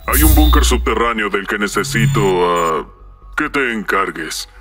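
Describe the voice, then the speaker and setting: A jaded cartoon soldier played by a man, with a lowish, hard-edged voice. He speaks bluntly, in a Latin American Spanish accent. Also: lively intonation, unhurried, a bit bright, faint music behind. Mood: jaded, businesslike. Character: weary, secretive male soldier client in gas mask. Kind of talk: animated fan dub acting.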